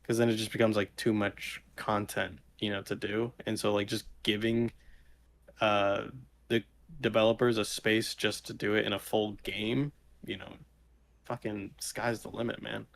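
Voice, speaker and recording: A man's voice: lowish voice, flat delivery, normal speed, vocal fry, slight background noise. A man chatting casually as a podcast co-host, talking in a rambling, enthusiastic and loosely worded way. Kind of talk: casual chat.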